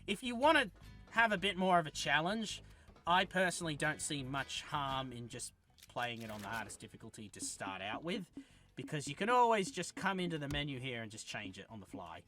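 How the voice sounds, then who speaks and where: A man talks through a game review in a loose, chatty way, circling back to fix his own words. His voice sits a little high and rings bright and forceful. Soft game music plays underneath. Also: expressive, a little fast. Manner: rambling and chatty, with frequent self-corrections. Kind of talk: casual game review commentary.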